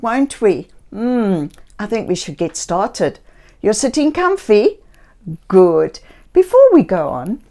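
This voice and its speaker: Slow, gentle woman reading to children. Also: on the low side. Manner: gentle, theatrical, talking directly to young listeners. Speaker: children's story reader and host. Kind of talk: expressive children's read-aloud storytelling.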